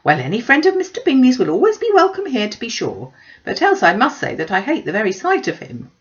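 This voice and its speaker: A fussy middle-aged mother played by a woman reader in a classic storybook. She is loud and emphatic, swooping up into exclamations as she frets. The recording is slightly dull. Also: on the high side, wildly swooping pitch, a little fast, voice pushed up. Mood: indignant.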